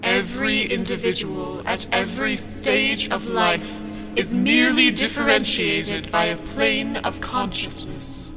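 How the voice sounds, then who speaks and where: A solemn, otherworldly cosmic guide, played by a performer in a radio play, with prominent music behind. The delivery is measured and cryptic, as if revealing ultimate secrets. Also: medium pitch, very animated, creaky, poor audio.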